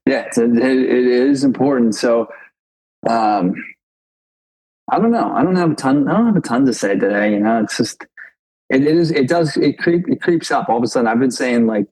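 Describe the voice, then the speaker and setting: A man's voice: mid-range voice, animated, moderate pace, darkish tone, breathy edge, low-quality recording. A man of thirty co-hosting a podcast, chatting casually and rambling with lots of filler words. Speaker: podcast co-host. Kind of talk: casual chat.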